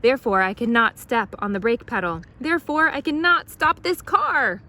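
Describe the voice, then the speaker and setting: A woman's voice: on the high side, sing-song, normal speed, sharp tone, voice pushed up, heavily acted. A woman voicing a flustered man from a children's storybook, exclaiming emphatically. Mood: distressed. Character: flustered adult man, shouting, cheerful then panicked driver. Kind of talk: children's storybook read aloud.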